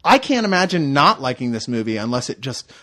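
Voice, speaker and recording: A man's voice: medium pitch, sing-song, fairly quick, pushed and bright. A man co-hosting a casual movie review, blunt and emphatic, tossing out crude jokes. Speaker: podcast co-host.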